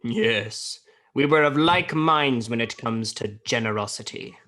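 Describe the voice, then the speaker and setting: A man's voice: medium pitch, animated, unhurried, pushed and bright. A man acting in a radio play as a miserly, cold-hearted old businessman, curt, sarcastic and disdainful. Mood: dry.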